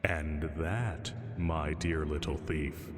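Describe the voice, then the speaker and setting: Casting: male actor as ancient predatory dragon, low-voiced, sly and condescending, heard in long cavernous reverb. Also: fairly even intonation. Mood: predatory disdain. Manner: slow, condescending, theatrical, drawn-out taunting. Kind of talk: scripted roleplay audio drama monologue.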